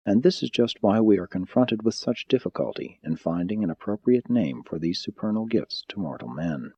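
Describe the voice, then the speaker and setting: A man reading a spiritual audiobook in a measured, solemn and formal manner. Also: on the low side, slightly rough, muffled recording. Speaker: audiobook narrator. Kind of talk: scripted audiobook narration of a spiritual text.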